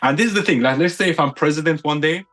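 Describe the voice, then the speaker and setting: A man's voice: on the high side, fast talker, a bit bright, soft background music. A man from the United Kingdom, a podcast guest giving his opinions in casual chat, stacking up points with repeated phrases.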